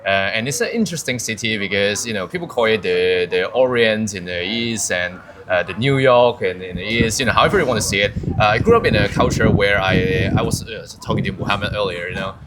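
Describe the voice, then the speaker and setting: A man's voice: fairly low pitch, a little fast, few pauses, a bit bright. A man from Hong Kong, a guest in an interview, talking reflectively and often adding "you know" and "right". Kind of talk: conversational interview.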